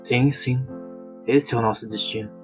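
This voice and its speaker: Man as serene caring adventurer, medium pitch, measured formal wording, tabletop roleplay, music behind. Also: steady tone, natural voice. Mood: concerned.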